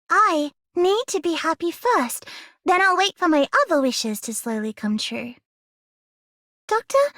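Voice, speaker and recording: A sweet little game heroine, hopeful and innocent. Her voice is extremely high, recorded dry and close, with an earnest delivery. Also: fairly bright tone, dry recording, exaggerated voice. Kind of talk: scripted video game character voice line.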